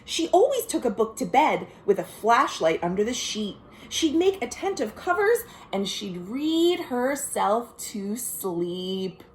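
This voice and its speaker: American woman, children's librarian, picture-book read-aloud, swooping expressive pitch, unhurried pace, sing-song delivery. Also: echoey room, a little hiss or hum. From United States. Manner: expressive, sing-song reading with chatty asides to young listeners. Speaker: children's librarian hosting a virtual storytime. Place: at home.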